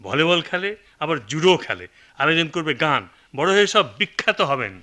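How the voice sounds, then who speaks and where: A man's voice: fairly high pitch, big pitch swings, brisk, sharp tone. A man acting a stern, old-fashioned, middle-aged village father in a radio drama, scolding and complaining with a Bangladeshi accent. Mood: irritated.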